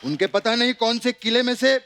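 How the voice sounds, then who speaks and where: Hammy, high-voiced scheming father. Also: expressive, brisk, a crowd in the background. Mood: indignant. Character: boozy, scheming elderly father, comic film-star parody. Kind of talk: stage sketch comedy. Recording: loud noise from studio audience.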